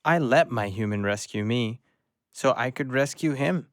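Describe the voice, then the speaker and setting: A man's voice: mid-range voice, moderate pace, dry recording, studio quality, natural voice. A man playing a loyal, eager rescue dog in an audio drama, earnest and pleading with his human.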